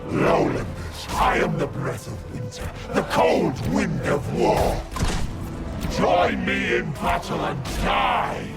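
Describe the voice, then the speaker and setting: An actor playing a proud, battle-hungry winter war spirit in a fantasy game, declaiming grandly. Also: male voice, a little high, big pitch swings, slow pace, gravelly, pauses often, raised voice, over music, full character voice. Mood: fierce, challenging. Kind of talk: fantasy video game villain acting.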